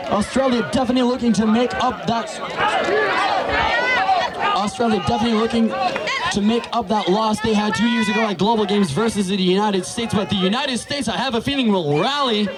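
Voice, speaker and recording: A man calling a live match play-by-play outdoors, quick and jokey. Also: high voice. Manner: joking, quick play-by-play calls. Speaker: sports commentator. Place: outdoor sports field. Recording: loud outdoor crowd noise. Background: loud crowd.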